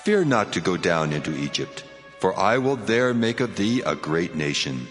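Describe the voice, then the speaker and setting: A solemn, commanding God played by a man proclaims in a low voice drenched in long reverb. The delivery is grand, deliberate and reassuring, in dramatized scripture over faint music. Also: sing-song. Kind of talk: dramatized scripture audio acting.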